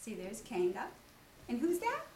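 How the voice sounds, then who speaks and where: A woman teacher with a highish, very lively voice reads a children's story and explains as she goes. She addresses her listeners with questions in an instructive way. The recording is echoey and distant. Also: a little slow. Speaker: teacher reading aloud and modelling reading techniques. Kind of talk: expressive children's storybook read-aloud with teaching asides. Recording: distant echoey room with faint noise.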